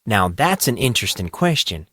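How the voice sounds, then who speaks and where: Guy playing a calm weirdly cheerful boy genius in an audiobook, cryptic n assured, dry recording. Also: fairly low pitch, normal speed, no echo, heavily acted. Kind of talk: audiobook character dialogue.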